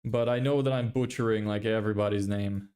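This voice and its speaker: A man's voice: fairly low pitch, fairly even intonation, brisk, mellow, clean tone. A young man from Lithuania with an American-sounding accent, chatting casually on a livestream, reading chat and swearing now and then, into a studio mic in a small bedroom. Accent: American-sounding English. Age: young adult. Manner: chatty, self-deprecating, reads chat aloud and swears casually. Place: small bedroom with a window, indoors. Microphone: large studio mic on a stand in front of him.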